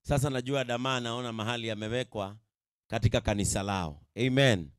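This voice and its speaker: A middle-aged Kenyan pastor with a Kikuyu accent, preaching emphatically to a gathering and often repeating himself to check they follow. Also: male voice, medium pitch, brisk, clean tone, no echo, clean studio sound. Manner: emphatic, repetitive, checks in with the audience. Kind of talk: sermon to a live gathering. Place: outdoor tented event. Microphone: handheld microphone.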